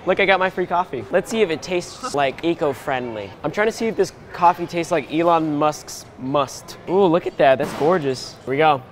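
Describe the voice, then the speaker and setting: A young man vlogging casually to camera from his car and a roadside stop, chatty and excitable, with quick exclamations. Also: fairly high pitch, slight background noise. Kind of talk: casual vlog talk to camera. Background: background music.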